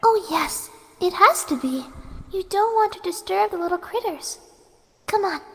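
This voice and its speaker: A young female pegasus pony in a horror story reading. The voice is extremely high, soft and pleading, with an unsettling sweetness. It rings in a long hall-like reverb. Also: animated, normal speed, vocal fry, full character voice. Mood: gently coaxing. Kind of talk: dramatic horror fan-fiction reading.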